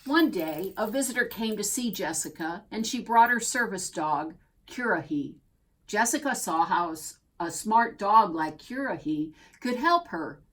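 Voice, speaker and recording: A woman reading a children's picture book aloud as a storyteller, expressively and deliberately, drawing out key words. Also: medium pitch, animated, fairly bright tone. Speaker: storybook reader.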